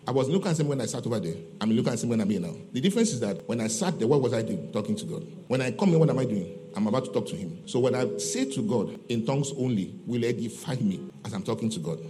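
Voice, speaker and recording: A man's voice: mid-range voice, mellow, a little hiss or hum. A man with a Nigerian accent preaching a teaching sermon, emphatic, repeating phrases and answering his own rhetorical questions. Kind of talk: teaching sermon with scripture readings and questions to listeners.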